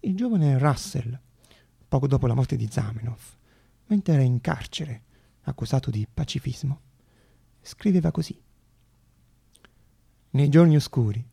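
A man performing a theatrical monologue as a storyteller, with a raspy, gravelly voice and dramatic delivery full of deliberate pauses. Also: medium pitch, expressive, dark tone. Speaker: stage actor and storyteller.

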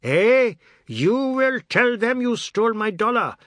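A man voicing an angry, drunken middle-aged man who accuses and threatens loudly. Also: very high voice, very animated, a little slow, no room sound, studio quality, pitched above the performer's own voice, exaggerated voice. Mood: furious. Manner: loud, threatening.

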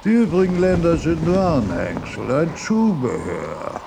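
Radio drama: fussy, pedantic old thinker, slow delivery with lively swings, easily distracted, noisy ambience. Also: male voice, a little high, creaky, exaggerated voice. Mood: indignant, grumbling. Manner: halting, emphatic, pedantic.

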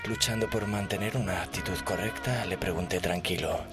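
Man playing a low-voiced smitten traveller, hushed storyteller. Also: fairly even intonation, fairly quick, loud music behind the voice, close to an everyday voice. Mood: nervous composure. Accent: Spain. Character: smitten male air traveller telling his comic story. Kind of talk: comic sketch narration.

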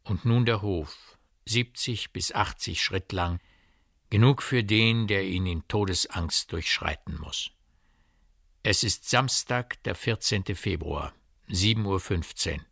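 Low crisp-edged guy narrating a radio drama, gravelly, bright tone, measured and precise. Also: no room sound, studio quality.

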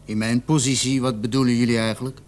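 A man's voice: mid-range voice, level tone, fast talker, a little mellow, lightly acted. An actor playing a stubborn young male suspect in a radio drama, insisting under interrogation and sometimes stumbling over his words. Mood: indignant, defensive. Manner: insistent, hesitating and repeating himself when pressed.

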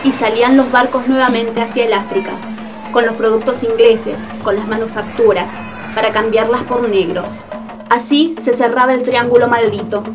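High-voiced woman narrator over music. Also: steady tone, moderate pace, far from the mic, poor audio. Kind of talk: scripted radio play narration.

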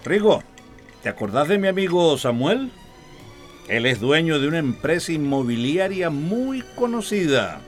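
A proud, middle-aged father in a radio soap opera. He is a pompous, overbearing man with a Costa Rican accent, and his pitch moves widely over faint background music. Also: big pitch swings, measured pace. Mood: proud, insistent.

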